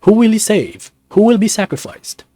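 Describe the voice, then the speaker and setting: A man's voice: sits high, lively intonation, normal speed, warm tone, no echo, voice pushed up. A man voicing an ominous, taunting announcer from a nightmare in a visual novel, theatrical and menacing.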